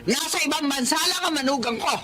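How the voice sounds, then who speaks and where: A woman's voice: sits high, fast, over loud music, lightly acted. A woman playing a cruel, haughty middle-aged mistress of the house, berating and domineering, in a Filipino radio drama. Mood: accusing, angry.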